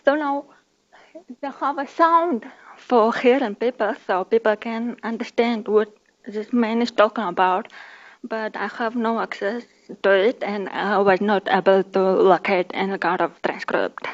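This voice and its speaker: A woman whose first language is Kazakh and who speaks English with a Kazakh and Russian accent. She was born deaf and gives a conference talk in careful, halting speech with many pauses and restarts. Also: medium pitch, fairly even intonation, dull audio. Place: conference hall.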